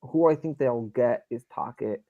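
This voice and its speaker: A man's voice: mid-range voice, normal speed, no room sound, phone-quality sound. Emphatic New York man debating sports. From New York, United States. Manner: opinionated, emphatic, repeats himself. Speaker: sports fan show panelist. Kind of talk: casual live sports debate. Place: live stream call.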